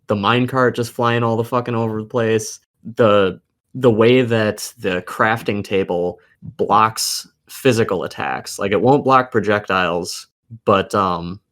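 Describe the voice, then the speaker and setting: Blunt, low-voiced man swearing freely. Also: measured pace, fairly bright tone. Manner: blunt, heavily profane. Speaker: gaming podcast co-host. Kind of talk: casual gaming chat.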